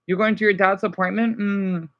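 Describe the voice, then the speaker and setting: A man chatting casually to live viewers as a streamer, rambling and halting as he jumps between thoughts. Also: high-pitched, fairly even intonation, highs cut off. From United States.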